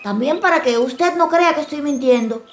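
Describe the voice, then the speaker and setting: A tiny storybook boy, played by a woman, with a very high, childlike voice. He sounds earnest and urgent, a sly little trickster, over soft background music. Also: moderate pace, lightly acted. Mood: earnest urgency. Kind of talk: dramatized fairy-tale audiobook.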